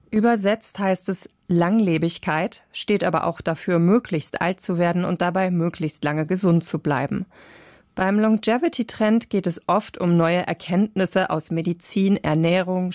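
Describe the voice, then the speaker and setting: A woman reading a scripted science narration for radio in a measured, clear way. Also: on the low side, darkish tone, no echo, clean studio sound.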